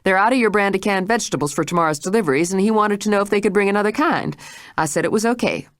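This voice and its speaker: A woman voicing a brisk, indignant middle-aged housekeeper who speaks in a clipped, hurried way. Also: mid-range voice, very animated, dry recording, clean studio sound, voice pushed up, natural voice.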